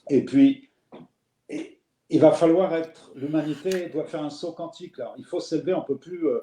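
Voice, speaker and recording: A man's voice: on the high side, normal speed, mellow. A man with a Parisian French accent hosting a live chat, talking in a rambling, reflective way with many fillers and restarts. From France. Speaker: host. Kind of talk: casual live conversation. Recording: roomy echo.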